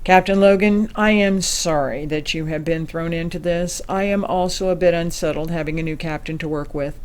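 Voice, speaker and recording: A man playing a composed, candid ambassador in a science fiction drama, speaking in a measured, diplomatic way. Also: on the high side, steady tone, smooth, close to an everyday voice. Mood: reassuring, candid. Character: composed, candid senior ambassador leading a secret space mission. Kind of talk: acted dialogue.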